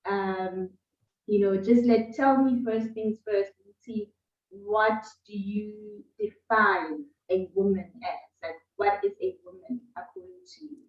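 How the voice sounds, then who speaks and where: A woman's voice: medium pitch, unhurried. A woman hosting a casual interview, bubbly and chatty, slipping in Zulu words. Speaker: host. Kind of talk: casual video-call interview. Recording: roomy, distant, dull lo-fi audio.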